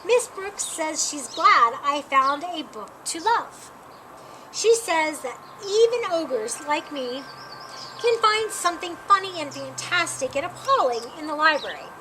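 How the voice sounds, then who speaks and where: A woman reading a children's picture book aloud in an expressive, deliberate way. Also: sits high. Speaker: storytime reader. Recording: clearly noisy, with birdsong behind. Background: birds chirping.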